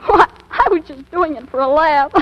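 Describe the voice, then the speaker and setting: Lovesick teenage girl, high voice, melodramatic, impulsive, 1940s radio play, muffled recording. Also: a little slow. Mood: haughty, hurt. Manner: melodramatic, breathless exclamations. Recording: muffled, noisy old radio broadcast recording.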